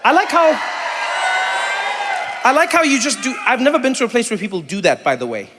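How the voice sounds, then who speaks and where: A middle-aged man from Africa, doing animated stand-up comedy and crowd work, breaking into shouted impressions and teasing banter. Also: extremely high pitch, fairly quick. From South Africa. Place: stage in a large theater before an audience. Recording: live theater with long hall reverb and faint room noise.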